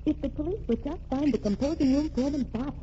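A woman's voice: high voice, quick pace, dark tone, natural voice. An actress playing a determined young woman bent on revenge in 1940s radio drama style, speaking earnestly and intensely. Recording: dull lo-fi old radio recording with faint hiss.

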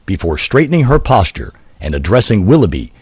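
A man reading a scripted story in a literary, deliberate storytelling manner. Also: on the low side, sing-song, darkish tone, slightly rough, no echo.

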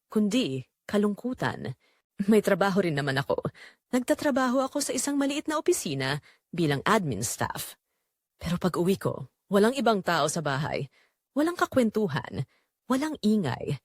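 Woman acting a lonely Filipina newlywed, lively pitch. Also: no room sound, clean studio sound. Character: lonely young newlywed wife confessing her secret. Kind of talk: scripted first-person audio drama narration.

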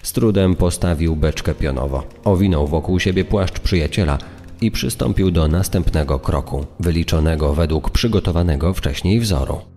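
Deep male narrator, scripted crime storytelling, very low register, long echoing hall sound. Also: normal speed, dark tone, soft background music. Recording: long reverb on the voice.

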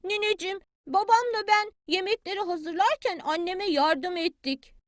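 Woman doing a high, eager little chick. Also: normal speed, no echo, studio quality. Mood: pleading.

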